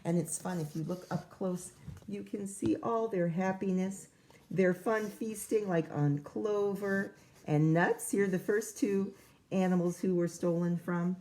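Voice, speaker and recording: A woman reading a rhyming picture book to children as their teacher, expressive, with casual explanatory asides. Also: fairly low pitch, a little slow, poor audio.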